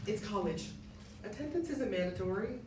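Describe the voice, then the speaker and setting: A man's voice: high voice, lively intonation, normal speed, darkish tone, slight background noise. A young man playing a struggling, grieving and defensive college student in a staged reading of a musical. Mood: casual, pleading. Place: echoey room, staged reading. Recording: very echoey, distant, piano over voice.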